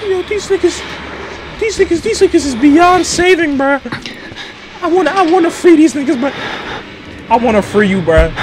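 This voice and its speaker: A young man commenting casually as he plays a horror game, loud and joking, repeating phrases, on a headset mic in a small room. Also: extremely high pitch, expressive, normal speed, long pauses, background noise. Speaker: gaming streamer. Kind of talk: casual let's-play commentary.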